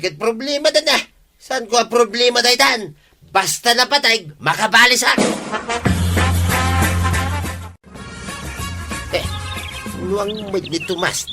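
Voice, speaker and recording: A man's voice: medium pitch, close to an everyday voice. A man acting a stubborn, hot-headed, grudge-bearing farmer in a comedy radio drama, grumbling emphatically. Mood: defiant. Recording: noticeable background noise.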